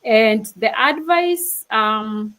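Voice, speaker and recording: A woman's voice: fairly high pitch, expressive, very slow, fairly bright tone. A young woman from Kenya giving an earnest, casual motivational talk as a panel speaker on a video call. She often restarts her sentences and laughs easily.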